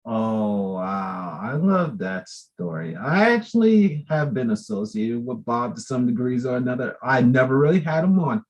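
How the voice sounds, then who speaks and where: Animated, slow-talking male interview host. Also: mid-range voice. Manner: effusive, animated, chatty. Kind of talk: casual interview hosting.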